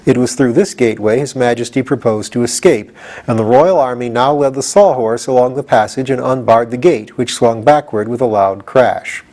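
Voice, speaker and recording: Male audiobook reader, medium pitch, expressive scripted storytelling. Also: normal speed.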